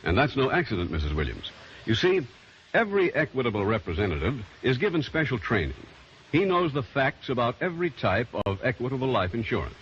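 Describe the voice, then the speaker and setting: A man's voice: medium pitch, fairly bright tone. An American man delivering a polished, emphatic sponsor message in the style of old-time radio. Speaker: commercial announcer. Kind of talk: 1940s-50s radio sponsor pitch. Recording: muffled old radio recording, faint hiss.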